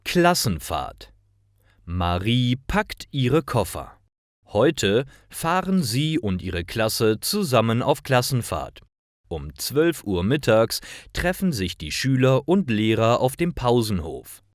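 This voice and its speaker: A man reading simple stories as a narrator for language learners, in a deliberate and expressive storytelling style. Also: mid-range voice, no echo, studio quality. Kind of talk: scripted storytelling narration, read slowly for learners.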